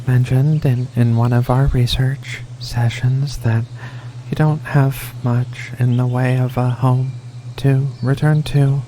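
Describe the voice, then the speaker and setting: Slow, soft-spoken, hesitant ASMR alien cat performer. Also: male voice, medium pitch, slight background noise, low-quality recording. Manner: hesitant, halting, stammering, tender. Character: gentle, shy, affectionate giant alien cat woman.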